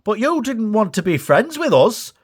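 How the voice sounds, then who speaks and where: Man voicing a cheeky, high-pitched logging locomotive. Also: big pitch swings, moderate pace. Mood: miffed. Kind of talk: children's storybook character voice.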